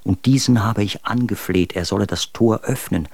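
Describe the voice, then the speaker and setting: An actor playing a bitter, tormented young husband and poet, reading confessional letters in a German radio drama. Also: male voice, lowish voice, normal speed, gravelly, a little mellow, dry recording. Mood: anguished.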